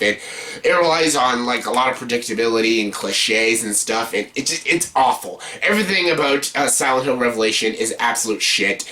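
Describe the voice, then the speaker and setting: Give the voice of a man reviewing on video in a casual, ranting style. He sits at a medium pitch and talks bluntly, with plenty of exasperation and frequent swearing. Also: sharp tone. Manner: blunt, heavily profane, exasperated. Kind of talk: casual ranked movie review rant.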